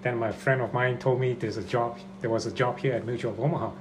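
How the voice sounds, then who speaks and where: A man in his fifties from Singapore, answering podcast questions in a halting way with frequent "uh" fillers. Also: mid-range voice, steady tone. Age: 50s. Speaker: podcast guest. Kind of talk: casual interview answers. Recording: noisy room recording.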